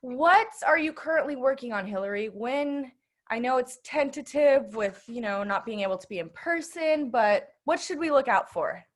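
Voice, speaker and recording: Woman, event host, fairly high pitch, casual, halting, appreciative. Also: expressive. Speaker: host of an online bookstore event. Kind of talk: casual event hosting with questions.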